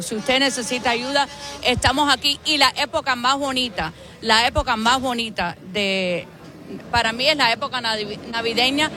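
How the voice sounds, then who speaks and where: A woman's voice: on the high side, bright, forceful tone. A woman police officer talking earnestly in a live interview outdoors on a city street.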